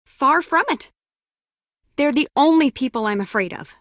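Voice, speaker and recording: A woman's voice: high-pitched, lively intonation, normal speed, a bit bright, no room sound. An actress playing a hard-nosed, sardonic female spy in a video game, with a dry, wry manner. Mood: guarded, wry.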